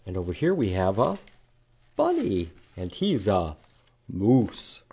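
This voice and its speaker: Slow, animated storytime man. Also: fairly low pitch, creaky, slightly rough. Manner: animated, addressing young children. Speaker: children's library storytime host. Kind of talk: children's storytime read-aloud. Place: indoors in a library room.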